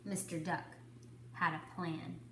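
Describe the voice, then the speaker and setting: A woman reading a children's story to kindergarteners, with deliberate, dramatic phrasing. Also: fairly low pitch, flat delivery, roomy sound, slight background noise, rough recording. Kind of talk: expressive children's story narration.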